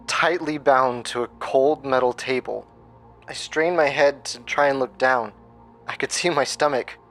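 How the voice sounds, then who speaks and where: Man acting a haunted storyteller, slightly low voice. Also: natural voice. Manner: halting, grave, confessional. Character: haunted, traumatised man recounting a childhood nightmare. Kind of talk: dramatic horror monologue.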